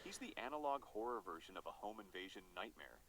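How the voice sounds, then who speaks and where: A man's voice: on the low side, animated, quick pace, heavy background noise. A man reading scripted horror documentary narration in an ominous, dramatic manner.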